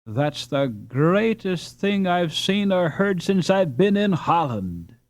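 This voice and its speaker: A man's voice: sits high, big pitch swings, takes it slowly, no room sound, studio quality, pitched above the performer's own voice, exaggerated voice. A man voicing an enthusiastic, chatty English boy in an audiobook. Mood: eager.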